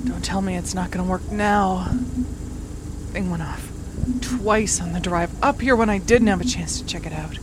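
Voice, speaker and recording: A woman's voice: on the low side, moderate pace, natural voice. An actress playing an irritated, sarcastic woman who drives a rover alone and mutters and swears to herself, in naturalistic audio drama. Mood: weary, frustrated. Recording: clear vehicle noise, rover cabin ambience.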